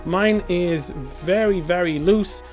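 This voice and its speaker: A man giving a casual, unscripted gear review to camera, enthusiastic but halting, often repeating words and phrases. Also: high voice, a little mellow, faint music behind. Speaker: product reviewer.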